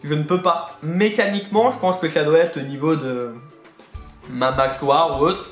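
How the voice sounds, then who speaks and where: A man's voice: fairly high pitch, moderate pace. A man with a slightly lisping, unusual articulation, chatting in a talkative, rambling way as host of a casual hobby video. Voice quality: atypical articulation, slight lisp-like speech impediment. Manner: talkative, rambling, repeats himself. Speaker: YouTube host of a reptile hobby channel. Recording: roomy echo, faint music behind.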